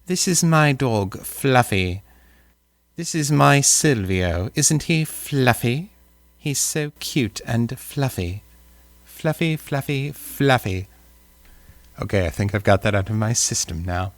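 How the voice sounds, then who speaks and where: A man's voice: mid-range voice, expressive, takes it slowly, dry recording. A British man voicing an audiobook, breaking off into joking asides and silly sing-song bits between lines. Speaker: audiobook voice actor. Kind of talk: playful recording outtakes.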